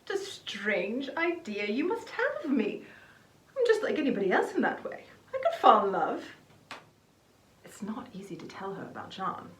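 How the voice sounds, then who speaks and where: A woman's voice: mid-range voice, very animated, moderate pace, slight background noise, natural voice. A woman playing a reserved, genteel lady with a guarded manner in an amateur dramatized novel reading, recorded in a roomy space. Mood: amused protest, then private musing. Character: reserved, genteel Englishwoman, secretly in love. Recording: roomy, distant microphone.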